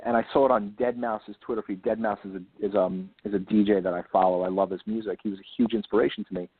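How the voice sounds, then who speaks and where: Husky man on a tinny phone line. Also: lowish voice, fairly even intonation, fast talker. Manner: enthusiastic, rambling, emphatic repetition. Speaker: author guest being interviewed. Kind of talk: casual interview talk. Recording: lo-fi phone-like line, roomy echo.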